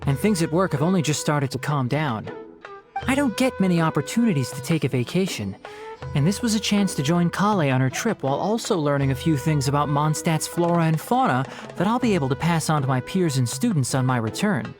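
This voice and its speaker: A man's voice: on the high side, animated, brisk, over music, lightly acted. An actor playing a young male scholar in an anime game, explaining things with weary, dry patience. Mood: matter-of-fact.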